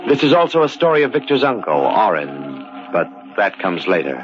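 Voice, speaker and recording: Casting: ominous horror anthology host, dramatic wide-swinging delivery, old radio sound, music underneath. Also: male voice, low-pitched, very animated. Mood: solemn, mysterious. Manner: portentous, deliberate, heavy pauses. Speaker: narrator. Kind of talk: dramatic 1940s-style radio horror narration. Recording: muffled old radio broadcast.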